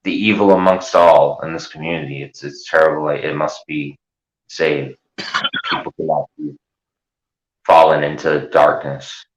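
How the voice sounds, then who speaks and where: A man's voice: rumbling low, fairly even intonation, measured pace, highs cut off. A man hosting a casual chat show, stammering and mock-earnest. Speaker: podcast host.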